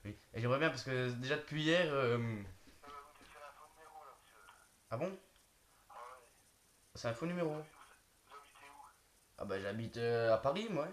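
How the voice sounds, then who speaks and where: A man's voice: medium pitch, animated, a little slow, long pauses. A young man bantering casually in slangy, teasing, sweary speech while hosting prank phone calls. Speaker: prank call host. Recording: echoey room with clicks and noise.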